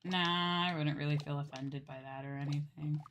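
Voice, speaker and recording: A woman's voice: low voice, fairly even intonation, normal speed, a bit bright, lo-fi sound, slight background noise. A woman livestreamer chatting casually with viewers while gaming, thinking aloud in a rambling way and often trailing off. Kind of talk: casual chat with viewers while streaming a game.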